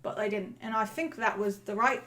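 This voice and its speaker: A woman playing a cheeky young male Cockney lamplighter, chatting in a halting, repetitive, self-assured way in an improvised comedy review. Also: mid-range voice, fairly bright tone, close to an everyday voice. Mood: opinionated. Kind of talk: improvised in-character film review chat.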